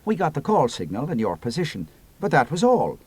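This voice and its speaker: A man voicing a steady male naval commander who speaks low and muttering. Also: a little fast, slightly rough, dry recording, heavily acted. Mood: concerned.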